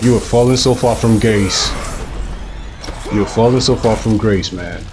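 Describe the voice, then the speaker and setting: A young man with a cold, hosting a gaming channel and talking over his gameplay in a chatty, joking way, with a hoarse voice. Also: fairly low pitch, normal speed, background noise. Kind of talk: casual gameplay commentary.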